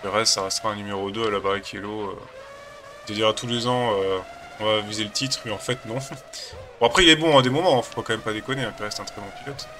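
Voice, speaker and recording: A man's voice: on the low side, fast, pushed and bright, slight background noise. A man with a cold chatting casually as a gaming channel host, rambling and hesitant while he plays a racing game. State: has a cold.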